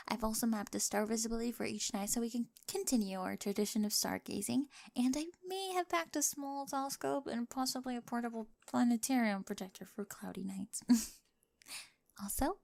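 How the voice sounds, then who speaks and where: A woman's voice: on the high side, vocal fry. A woman playing a quirky, data-obsessed young bride in a scripted ASMR roleplay, speaking technical phrases with earnest, adoring precision. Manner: precise, earnest recital of technical wording with giggly excitement.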